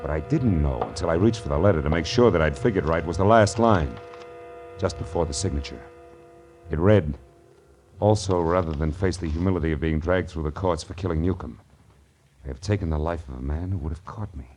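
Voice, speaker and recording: An actor playing a wry, wisecracking hard-boiled private detective in a 1940s radio crime drama. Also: male voice, deep voice, a little fast, a little mellow, breathy edge, faint music behind. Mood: tense narration. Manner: wry, sardonic, teasing slang. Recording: noisy vintage 1940s radio recording.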